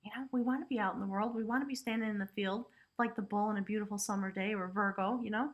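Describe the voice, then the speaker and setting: A woman's voice: mid-range voice, fairly even intonation, fast. A woman giving a casual spiritual tarot reading, talking chattily with lots of "you know" and "right?" asides. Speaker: tarot reader.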